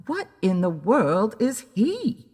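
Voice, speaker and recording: A woman's voice: mid-range voice, wildly swooping pitch, takes it slowly, darkish tone, voice pushed up, heavily acted. A woman voicing a huffy, demanding elderly client in an audio story. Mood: alarmed. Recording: long hall-like reverb.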